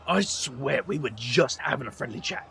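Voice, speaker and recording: A man plays a scrappy British magician with a very gravelly, creaky voice and an animated pitch. He is wry, colloquial and world-weary, in an audio drama. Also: normal speed. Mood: defensive. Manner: dry, colloquial, self-deprecating. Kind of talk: audio drama narration and acting.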